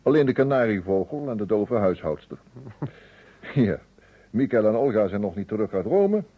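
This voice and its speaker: An actor playing a composed, observant amateur sleuth in a radio play, with a measured, dry delivery. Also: male voice, on the low side, sing-song, slightly rough. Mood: dry, relaxed. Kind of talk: Dutch radio drama acting. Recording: tinny, phone-like old radio recording.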